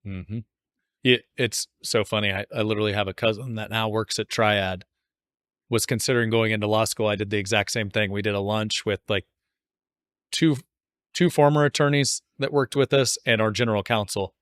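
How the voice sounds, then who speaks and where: A man's voice: on the low side, steady tone, moderate pace, fairly bright tone, smooth, no echo, clean studio sound. A man hosting a podcast interview in a casual, informal, jokey way, building up loosely to his questions. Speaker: podcast host interviewing an author.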